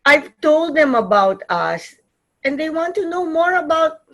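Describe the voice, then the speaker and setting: Actress as a slow, gentle, hopeful mother. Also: high voice, very animated, full character voice, poor audio. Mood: coaxing. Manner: soothing, hesitant. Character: gentle, hopeful, placating Filipina wife and mother. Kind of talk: staged play reading.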